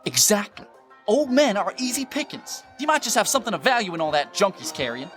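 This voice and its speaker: A man's voice: high-pitched, sing-song, a little fast, a bit bright. A man playing a bossy, scheming outlaw brother in a western audio drama, snappy and scornful, with a rural American accent. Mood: sly, greedy. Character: irritable scheming bandit, bossy elder brother. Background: music.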